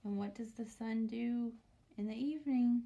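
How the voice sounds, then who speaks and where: A woman teacher reading a picture book aloud to young children, commenting and asking questions, often dropping into a hushed near-whisper. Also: on the high side, moderate pace, lo-fi sound. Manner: asks the listening children questions.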